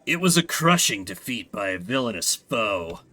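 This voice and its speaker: A man's voice: on the low side, very animated, moderate pace, pushed and bright, pitched below the performer's own voice. A man voicing a proud, honorable male military commander in a video game, formal and solemn.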